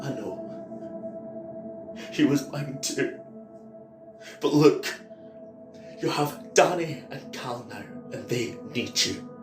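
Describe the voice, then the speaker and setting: An actor plays a weary, defensive male survivor in an audio drama. He speaks very slowly and emphatically, with halting phrases, in an echoey space with music behind him. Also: medium pitch, animated. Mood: sombre, earnest. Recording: roomy echo with music behind.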